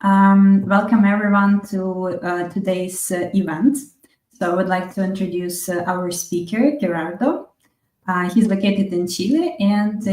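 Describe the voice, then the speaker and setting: A woman's voice: on the low side, darkish tone. A woman hosting an online event and introducing the speaker and agenda. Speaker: webinar host. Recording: roomy, echoing online call.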